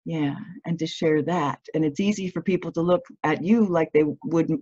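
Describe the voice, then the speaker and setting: Woman, interview host, low voice, restarts, dry muffled call audio. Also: moderate pace, no room sound. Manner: warm affirmations, rambling questions with restarts. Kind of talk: casual interview chat.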